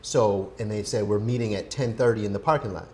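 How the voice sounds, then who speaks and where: A man's voice: lowish voice, brisk, darkish tone. An American man in his forties, casually telling personal stories as a podcast co-host in a conversational, teasing way. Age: 40s. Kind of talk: casual chat, personal storytelling. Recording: clear background noise, room ambience.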